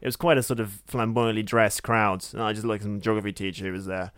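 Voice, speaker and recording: A man's voice: on the low side, steady tone, quick pace, a bit bright, no room sound, clean studio sound. A young man co-hosting a casual podcast, telling a rambling, self-mocking story and laughing often. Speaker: podcast co-host. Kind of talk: casual chat.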